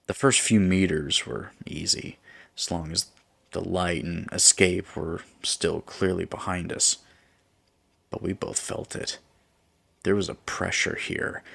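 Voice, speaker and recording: A man narrating a scripted first-person horror story in a measured, suspenseful way. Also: sits low, level tone, vocal fry, a bit bright, husky, no echo.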